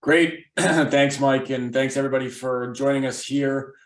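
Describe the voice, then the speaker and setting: A man's voice: mid-range voice, steady tone, a little slow, reverberant. A man presenting a webinar as a financial advisor, speaking conversationally with frequent restarts.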